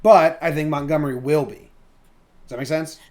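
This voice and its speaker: Higher-pitched man, casual and colloquial. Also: fairly even intonation, moderate pace, darkish tone. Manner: colloquial, swears freely. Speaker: sports podcast co-host. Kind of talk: casual sports chat.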